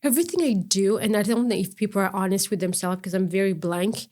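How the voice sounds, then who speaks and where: A middle-aged woman guest on an interview, speaking earnestly in a halting way with frequent restarts and broken-off words. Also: medium pitch, darkish tone. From Ontario, Canada. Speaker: guest, non-profit founder. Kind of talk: conversational interview.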